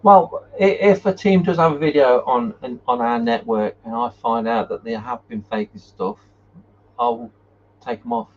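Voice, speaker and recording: A man hosting a casual paranormal live chat and reading out viewer comments, talking in an informal, halting way. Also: medium pitch, big pitch swings. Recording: muffled, noisy live-stream audio.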